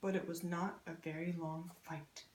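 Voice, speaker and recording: A woman's voice: on the low side, flat delivery, moderate pace, darkish tone. A young woman, a student presenter, narrating history from a script as part of a two-person skit. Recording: roomy, echoey room sound.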